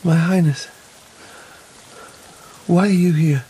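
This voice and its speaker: An actor playing an ailing, feverish emperor in a radio period drama, troubled, imperious and weary. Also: male voice, on the high side, fairly even intonation, dark tone, a little hiss or hum. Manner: slow, weary, regal. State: feverish, sighing.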